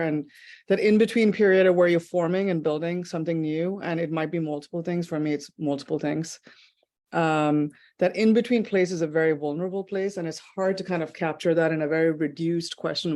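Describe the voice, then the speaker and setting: A woman's voice: deep voice, darkish tone. A woman taking part in an online workshop, speaking thoughtfully over a video call and searching for her words. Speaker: workshop participant. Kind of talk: reflective personal sharing.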